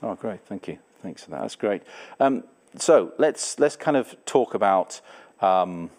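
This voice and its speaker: A man's voice: fairly low pitch, expressive, unhurried, darkish tone. A man with an English accent hosting a church talk, welcoming people in a loose way with lots of fillers. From England. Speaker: church host.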